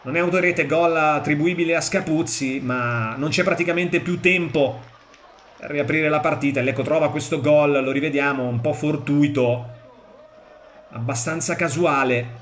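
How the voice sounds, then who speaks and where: A man commentating football highlights, describing each play analytically in short clipped phrases. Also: normal speed, a bit bright, slight background noise.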